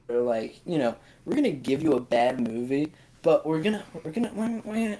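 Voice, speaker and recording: Male panelist, average pitch, casual and bluntly dismissive, repeats himself for emphasis. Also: mellow, poor audio. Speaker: podcast panelist. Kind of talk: casual chat, opinionated movie discussion.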